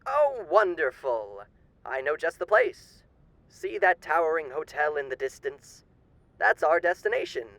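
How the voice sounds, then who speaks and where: A man's voice: on the high side, sing-song, measured pace, vocal fry, a bit bright, dry recording, full character voice, low-quality recording. A man playing a suave demon overlord with theatrical, old-fashioned genteel diction in an audio roleplay. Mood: inviting, sly. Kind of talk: ASMR character roleplay.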